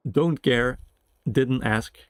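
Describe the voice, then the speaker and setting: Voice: mid-range voice, very slow, clear, resonant tone, no room sound, clean studio sound. Mumbling, playful game streamer. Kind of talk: casual live gaming commentary.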